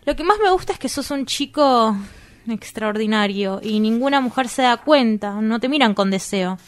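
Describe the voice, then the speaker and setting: A woman's voice: fairly high pitch, animated, normal speed, close to an everyday voice. A woman with an Argentine accent playing a coy, earnest young woman in love in a radio drama. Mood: tender, coy.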